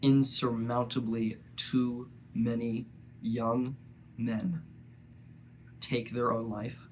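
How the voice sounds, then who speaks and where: A young man with a cold and a stuffy nose, talking earnestly and haltingly in a personal reflective monologue. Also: mid-range voice, little pitch movement, a little hiss or hum, rough recording. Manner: earnest, halting, with frequent pauses. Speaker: vlogger.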